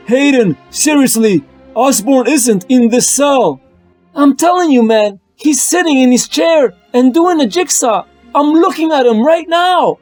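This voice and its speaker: A man's voice: very high-pitched, animated, measured pace, darkish tone, soft background music, pitched above the performer's own voice. A man voicing a flustered male prison guard who insists on what he sees. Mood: insistent. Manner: insistent, alarmed.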